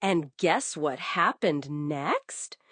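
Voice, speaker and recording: A woman's voice: low-pitched, lively intonation, very slow, fairly bright tone, slightly rough, no echo. A woman narrating a scripted bedtime story for young children, coddling the listener with endearments.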